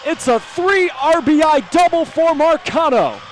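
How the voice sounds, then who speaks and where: An American man calling baseball play-by-play as a broadcaster, animated and given to shouting on big plays. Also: very high voice, a little slow, slight background noise. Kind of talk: live sports play-by-play commentary.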